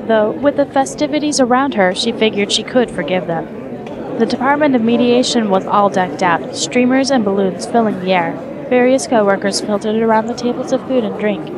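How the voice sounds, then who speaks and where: A woman's voice: a little high, normal speed, crowd noise. A woman reading scripted story narration as the narrator of an audio drama.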